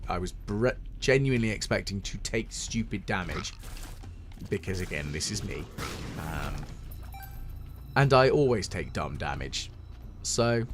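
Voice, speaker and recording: A British man with a deep, resonant and slightly hoarse voice, giving wry, self-deprecating commentary while playing a game. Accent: British English. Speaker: gameplay commentator. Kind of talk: casual let's-play commentary. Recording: game music playing under the voice. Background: game music.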